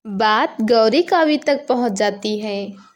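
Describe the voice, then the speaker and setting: Lady narrator reading a kids bedtime story, fairly high voice. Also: lively intonation, slow, slight background noise. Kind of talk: scripted children's story narration.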